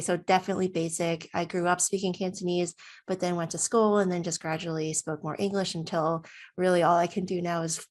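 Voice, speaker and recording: Chatty, expressive woman in her thirties. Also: fairly low pitch. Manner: chatty, expressive, acts out her own thoughts. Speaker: student giving a testimonial. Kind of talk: casual self-recorded video testimonial.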